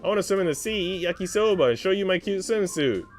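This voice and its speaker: A man voicing an eager, tactless little girl in a visual novel, with a whiny, excitable, sweet manner. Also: high voice, quick pace, soft background music, pitched above the performer's own voice. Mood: insistent.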